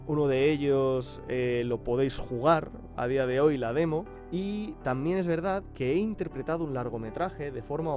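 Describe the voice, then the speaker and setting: A man's voice: fairly high pitch, warm tone. A man giving a casual personal account as a voice actor. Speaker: amateur voice actor. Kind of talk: casual interview testimonial.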